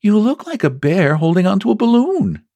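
A man's voice: high voice, sing-song, normal speed, warm tone, dry recording, pitched above the performer's own voice. A man voicing a small boy in a children's storybook, polite, curious and helpful, with simple questioning delivery. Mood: cheerful, frank.

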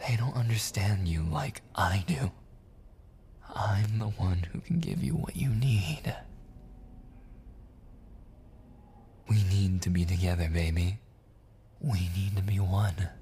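A man playing a possessive, obsessively jealous boyfriend in an intimate ASMR audio roleplay, pleading and menacing in halting, soft speech that slips into whispers. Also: fairly low pitch, slight background noise. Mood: intense, intimate. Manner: pleading, halting and repetitive, slipping into breathy whispers.

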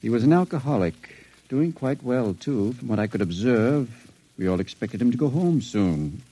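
A sensitive, educated patient in a vintage radio drama. His voice is mellow and of medium pitch. He chooses his words carefully and stays guarded. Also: expressive, husky, no room sound, low-quality recording. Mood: quietly confiding. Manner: measured, cautious, educated. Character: intelligent, sensitive, guarded male addict, long-term patient. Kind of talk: old-time radio drama acting.

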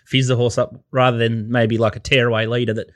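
A man's voice: mid-range voice, level tone, fairly quick, dry recording. A man hosting a podcast in a casual style, full of small fillers. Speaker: podcast host. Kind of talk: podcast chat.

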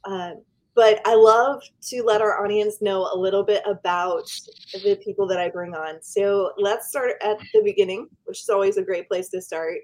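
A woman hosting a podcast interview, speaking in an enthusiastic, deliberate way as she welcomes her guest. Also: medium pitch, low-quality recording. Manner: warm, enthusiastic, slow deliberate intro. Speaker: podcast host.

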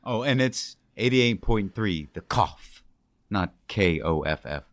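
A man's voice: lowish voice, animated, slow, no echo. A laid-back radio engineer voiced by a man teases the host. He speaks offhandedly in a medium voice with a dry, close sound. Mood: offhand. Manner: offhand, teasing.

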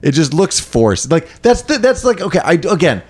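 A man's voice: fairly high pitch, sing-song, moderate pace, a little mellow, slightly rough. A man chatting casually about a movie, rambling and swearing as he acts out quotes. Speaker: podcast co-host. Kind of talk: casual chat.

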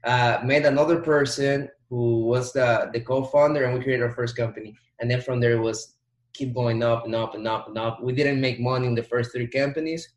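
A man's voice: medium pitch, steady tone, a little fast. A man, an entrepreneur guest giving motivational advice in a casual interview, repeating words for emphasis. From Dominican Republic. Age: 20s. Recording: roomy echo.

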